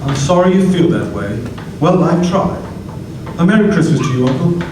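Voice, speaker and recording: A man playing a cheerful, warm-hearted young nephew in a live radio play, earnest and pleading. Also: fairly high pitch, sing-song, moderate pace, heavy reverb, far from the mic, background noise.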